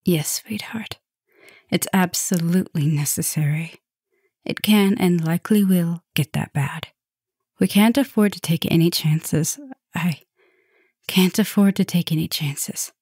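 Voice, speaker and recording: Casting: woman to play female vampire professor for ASMR roleplay. Lowish voice, close and dry, caring soft-dominant mistress, gentle with breathy dips. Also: measured pace, dry recording. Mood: serious, protective. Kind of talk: ASMR roleplay, speaking intimately to the listener.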